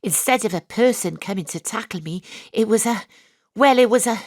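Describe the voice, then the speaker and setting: A woman playing a brisk, tongue-in-cheek private detective with a Welsh accent, acting and narrating in a comic audio drama. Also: fairly high pitch, darkish tone, no echo, clean studio sound, natural voice. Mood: baffled.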